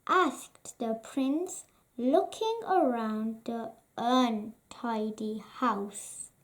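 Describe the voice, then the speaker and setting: A woman reading a children's picture book aloud as a bedtime story, in an expressive sing-song manner. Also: a little high, very slow. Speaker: storybook reader.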